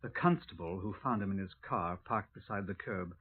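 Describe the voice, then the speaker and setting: An actor playing an affable, earnest Welsh detective sergeant in a 1950s radio crime drama. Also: male voice, deep voice, normal speed, slight background noise, natural voice. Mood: matter-of-fact relish. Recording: tinny old radio broadcast recording.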